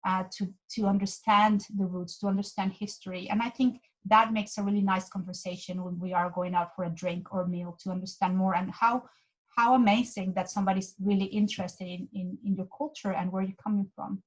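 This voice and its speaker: A woman's voice: medium pitch, flat delivery, brisk, rough recording. Woman giving a talk. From Mexico. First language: Spanish. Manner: earnest, with frequent restarts and repeated words. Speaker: guest speaker. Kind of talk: presentation talk.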